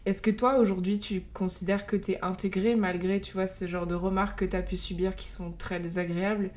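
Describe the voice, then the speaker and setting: A woman's voice: medium pitch, flat delivery, a little fast, a little mellow. A woman, hosting a podcast and asking questions in a casual, conversational style. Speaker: podcast host, interviewer.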